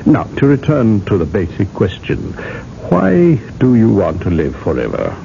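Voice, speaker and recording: A man acting in a 1980s radio drama as a stern, knowing interrogator who questions in a probing, deliberate way. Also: on the low side, huge pitch swings, creaky, dark tone, full character voice. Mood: calm, probing. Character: stern, knowing male interrogator, calmly relentless judge. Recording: dull, noisy old radio recording.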